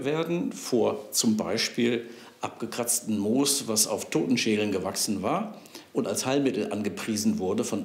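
A man in an interview explaining history, with fillers as he searches for words. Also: medium pitch. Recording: echoey room.

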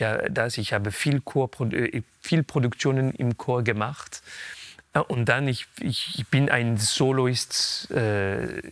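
A man's voice: mid-range voice, a bit bright, dry recording. Guest note: french-accented male opera singer, hesitant German, dry close sound. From France and Switzerland. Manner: halting, searches for German words. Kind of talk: casual interview.

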